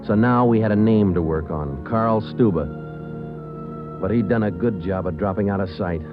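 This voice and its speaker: An actor playing a calm police sergeant who narrates the case in a flat, clipped, matter-of-fact way, in 1940s radio drama. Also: male voice, lowish voice, measured pace, close to an everyday voice. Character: terse male police sergeant, calm and methodical. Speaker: narrator and detective. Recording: muffled 1940s radio broadcast with music.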